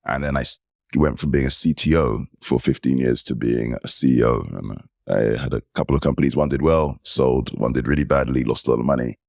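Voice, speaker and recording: A man in his forties with an English accent, telling stories as an animated guest in a casual interview. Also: rumbling low, a little fast, creaky, no echo, studio quality. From United Kingdom. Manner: animated storyteller. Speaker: podcast guest.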